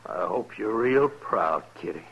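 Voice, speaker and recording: An American actor playing a wary, sullen army deserter on the run in a 1950s radio western. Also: male voice, lowish voice, expressive, a little slow, creaky, fairly bright tone, husky, muffled recording, heavily acted. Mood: bitter. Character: bitter, distrustful young army deserter on the run. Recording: noisy vintage radio recording.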